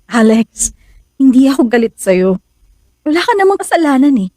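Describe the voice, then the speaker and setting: A woman's voice: high-pitched, very animated, normal speed, dark tone, no room sound, clean studio sound. An actress with a Filipino accent playing a guarded young woman in love in a radio drama, sounding wounded and emotional. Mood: guarded, resigned.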